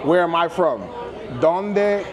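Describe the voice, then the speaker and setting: A man hosting a food vlog, chatting playfully and competitively while mixing English with halting Spanish. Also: high-pitched. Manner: joking, competitive, switching between English and broken Spanish. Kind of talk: playful casual chat. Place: busy restaurant. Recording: echoey room with crowd chatter and music.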